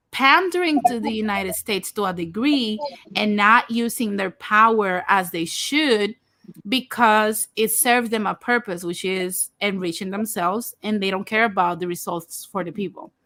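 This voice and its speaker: A woman's voice: mid-range voice, sharp tone, no echo. A woman from Puerto Rico in her thirties, hosting a casual livestream chat and talking frankly and directly to her viewers. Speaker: host of a livestream talk show.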